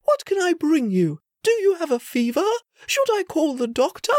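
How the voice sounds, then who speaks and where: Woman reading as a fussing, tender queen mother, pitched well up and a touch loud. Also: sing-song, moderate pace, no echo, studio quality. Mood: fretting. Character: fretful, doting queen mother.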